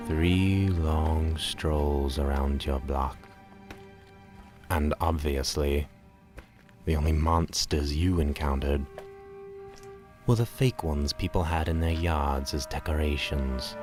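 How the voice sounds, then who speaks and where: A man narrating a Halloween radio drama in a slow, ominous, theatrical storytelling manner, with a breathy, hushed delivery. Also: a bass voice. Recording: music under the voice. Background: music.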